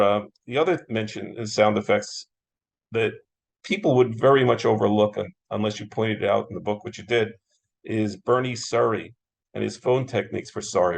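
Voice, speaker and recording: Casting: American man, moderator type, creaky voice, enthusiastic and agreeable in casual conversation. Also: lowish voice. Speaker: interviewer, moderator. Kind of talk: casual interview chat about classic radio.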